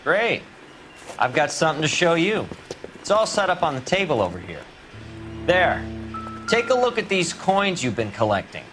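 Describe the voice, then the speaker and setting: An actor playing a knowledgeable police evidence technician in a video game, explaining things clearly to detectives. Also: male voice, fairly high pitch, very animated, normal speed, pauses often, fairly bright tone, faint music behind. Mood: helpful, informative.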